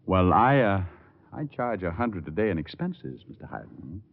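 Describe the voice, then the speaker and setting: Deep-voiced radio private eye. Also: male voice, big pitch swings, normal speed. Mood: businesslike. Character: cool, courteous male private detective, narrator-hero. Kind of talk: 1940s-50s hardboiled radio drama acting. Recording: muffled, phone-like old radio recording.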